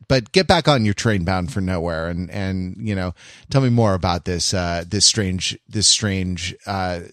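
A man's voice: deep voice, a bit bright, dry recording, studio quality. A man co-hosting a podcast, chatting casually and riffing with mock indignation about pop culture. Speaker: podcast co-host.

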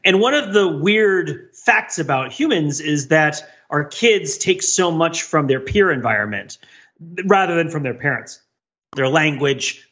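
A man on a podcast panel giving animated, explanatory pop-culture analysis in a casual way. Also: fairly high pitch, slightly rough. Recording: dull, lo-fi voice track.

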